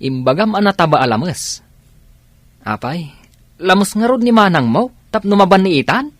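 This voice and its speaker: A clueless ilocano radio comedy villager, confused questions, swoopy breathy voice, very dry sound. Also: male voice, high-pitched, lively intonation, moderate pace, no room sound. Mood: baffled. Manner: bewildered, questioning. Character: dim-witted, baffled comic male villager. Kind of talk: radio drama acting.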